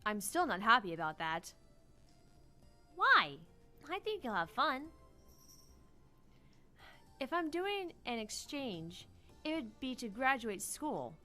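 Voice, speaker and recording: Bright, lively, higher-pitched woman. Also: slow pace, long pauses, soft background music. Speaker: let's play co-host voicing character dialogue. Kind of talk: expressive read-aloud of visual novel dialogue.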